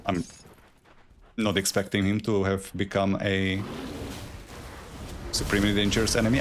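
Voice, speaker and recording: A man's voice: deep voice, noisy background. A man with a Czech accent that leans German, talking through a game live as a streamer and rambling as he thinks aloud. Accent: Czech, with a German tinge. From Czech Republic. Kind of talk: live gameplay commentary.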